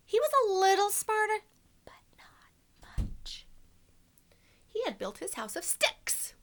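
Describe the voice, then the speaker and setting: A woman voicing an aggrieved male storybook wolf pleading his innocence, with exaggerated, drawn-out, emphatic delivery. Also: very high-pitched, big pitch swings, voice pushed up, exaggerated voice. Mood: self-excusing. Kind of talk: dramatic children's storybook read-aloud.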